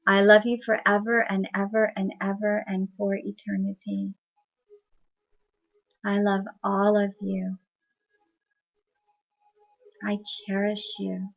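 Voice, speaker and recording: A woman's voice: mid-range voice, little pitch movement, slow pace, creaky, long pauses, faint music behind. A woman voicing a tender, loving queen. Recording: dull lo-fi recording.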